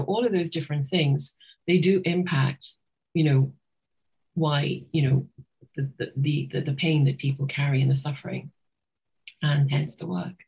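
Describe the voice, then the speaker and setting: A woman's voice: low-pitched, a little mellow, slightly rough. A middle-aged woman from Trinidad, a psychotherapist, speaking thoughtfully as a guest in an interview, often restarting her sentences. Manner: thoughtful, with many restarts and fillers. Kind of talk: reflective interview talk.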